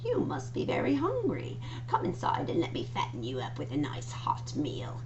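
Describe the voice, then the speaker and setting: A woman's voice: low-pitched, wildly swooping pitch, moderate pace, far from the mic, dull audio, noisy background, full character voice. A woman voicing a greedy, cruel old witch in a storybook, sugary and cooing, cackling and shrieking. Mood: falsely sweet.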